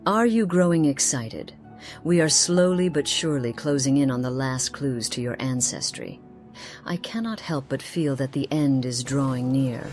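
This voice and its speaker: Woman acting a very low-voiced quest companion. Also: darkish tone. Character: earnest female companion guiding a quest. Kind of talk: video game character dialogue.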